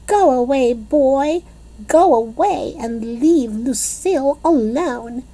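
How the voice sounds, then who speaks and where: Woman playing a shrill excitable little schoolgirl, squealing, very high, storybook reading. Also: takes it slowly, full character voice, low-quality recording. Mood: shooing.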